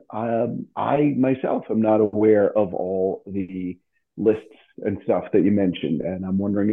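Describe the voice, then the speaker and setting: A man chatting casually as a radio presenter, with halting, hesitant phrasing, heard over a tinny phone-like line. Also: lowish voice. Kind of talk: casual chat.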